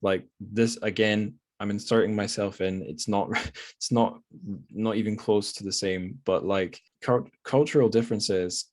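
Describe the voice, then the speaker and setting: A man's voice: on the low side, steady tone, measured pace, dry recording. A man with a Scottish accent hosting a podcast, talking casually and reflectively about books in a rambling, wry, self-correcting way. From Scotland. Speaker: podcast host. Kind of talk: casual reflective book discussion.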